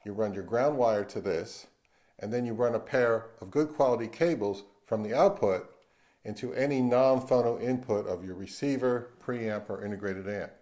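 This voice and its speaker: A man's voice: lowish voice, moderate pace, a little mellow. A man narrating a product review as the host of his own audio channel.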